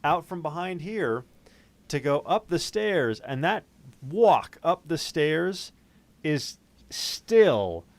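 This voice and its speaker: A man's voice: on the high side, big pitch swings, slow, no echo. Casual sweary man chatting. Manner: informal, sweary storytelling.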